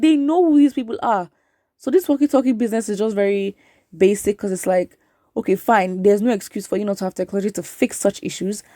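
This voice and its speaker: A woman's voice: mid-range voice, mellow, no room sound, clean studio sound. A woman giving opinionated news commentary as host, exasperated, with emphatic repetition and rhetorical questions. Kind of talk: opinionated commentary, reading viewer comments aloud.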